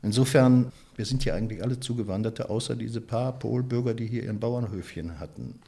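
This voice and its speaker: A man from the Ruhr area, giving a reflective interview and often pausing with hesitations and restarts. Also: medium pitch, warm tone. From Ruhr area, Germany. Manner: thoughtful, many hesitations and restarts. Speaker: interviewee, photographer and author.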